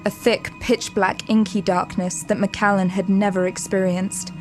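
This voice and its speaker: A woman giving dramatic scripted narration for an audio drama, measured, descriptive and suspenseful. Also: medium pitch, flat delivery, darkish tone, faint music behind, rough recording.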